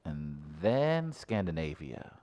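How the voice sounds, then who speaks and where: A man riffs through casual comedic banter on a podcast. His voice sits deep yet moves a lot, with a dry, deadpan way of landing jokes. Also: slow pace. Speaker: podcast co-host.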